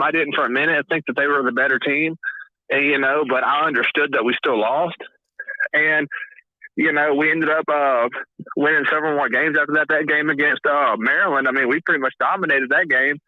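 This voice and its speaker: A man's voice: a little high, no room sound. A man from Mississippi calling in to a sports talk show, rambling with many restarts and fillers. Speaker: caller. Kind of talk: sports call-in commentary. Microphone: phone. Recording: tinny phone line.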